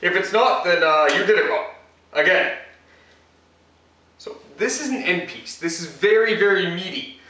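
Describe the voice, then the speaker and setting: A man's voice: sits high, animated, measured pace, pauses often, sharp tone. A man hosting a casual home cooking demonstration, talking the viewer through each step in a chatty way with joking asides. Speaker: cooking show host. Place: home kitchen, indoors. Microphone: camera mic from across the room. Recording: echoey kitchen, mic far off.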